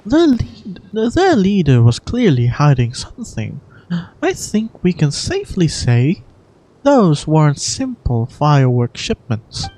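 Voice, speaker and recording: Woman as a composed female guardian, measured, articulate, reassuring, expressive intonation, amateur game dubbing. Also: sits low, exaggerated voice, rough recording. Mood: grave, composed. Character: calm, protective adult woman guardian with visions.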